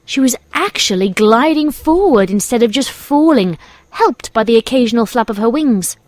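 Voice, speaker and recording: A woman's voice: on the high side, lively intonation, moderate pace, a little mellow, no echo. A woman reading scripted storybook narration as the narrator.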